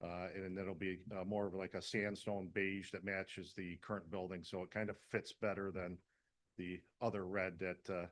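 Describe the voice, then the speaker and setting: A man's voice: low voice, little pitch movement, normal speed. A man presenting his fire department's building plans to a town planning board, talking casually with many fillers and restarts. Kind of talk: casual presentation at a public meeting.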